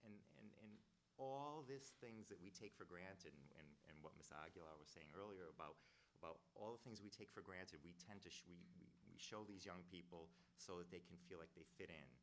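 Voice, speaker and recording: A man's voice: deep voice, a little fast, a bit bright, slight background noise. A man from Rhode Island giving rambling, earnest roundtable remarks as a youth program director, often restarting his sentences. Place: government roundtable room.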